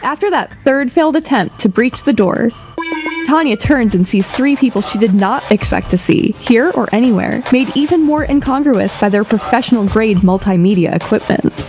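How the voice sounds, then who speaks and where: A woman's voice: fairly high pitch, lively intonation, normal speed, a little mellow. A woman narrating a scripted audio drama as a wry, articulate storyteller. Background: music.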